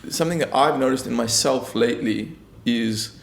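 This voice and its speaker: Very gravelly man in a roomy space. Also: mid-range voice, normal speed. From South Africa. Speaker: co-host. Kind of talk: casual, philosophical chat. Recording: roomy echo on voice.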